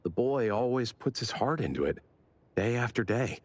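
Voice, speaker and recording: A man's voice: mid-range voice, lively intonation, normal speed, no room sound, natural voice. An actor playing a thoughtful, sympathetic male community gardener in a video game. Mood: pensive, admiring. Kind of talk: video game dialogue acting.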